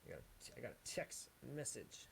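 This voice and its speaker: A man in the host seat of a livestream, keeping up loose, chatty talk while he gets the show ready. His voice sits at an ordinary middle pitch, and he talks up the coming guest with easy energy. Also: sing-song, a little fast, gravelly, fairly bright tone, a little hiss or hum.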